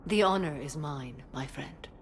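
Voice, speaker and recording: An actress playing a solemn, dutiful warrior mother in a video game, speaking formally and gravely in measured phrases. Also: sits low, slight background noise. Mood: calm, dignified. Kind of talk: dramatic video game acting.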